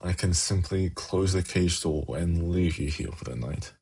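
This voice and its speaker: A man playing a suave, haughty demon prince in an ASMR fantasy roleplay, speaking in a measured, formal, silkily condescending way with a gravelly, husky voice. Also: low voice, darkish tone, heavily acted. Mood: soft, coaxing.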